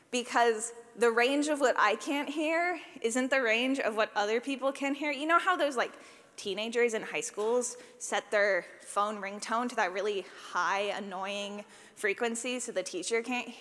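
Woman, fairly high voice, informal conference speaker, animated, self-deprecating humour, long room reverb. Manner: animated, self-deprecating, jokey, says "like" often. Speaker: speaker, sound designer for audio fiction. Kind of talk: informal conference talk with audience questions. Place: large reverberant room, live talk. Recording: reverberant hall sound.

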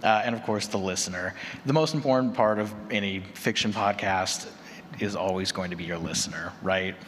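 A man's voice: low voice, normal speed, a bit bright. A man leading a conference presentation, explaining point by point and checking in with "right?" as he goes. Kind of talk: semi-prepared conference presentation. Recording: reverberant hall, clear background noise.

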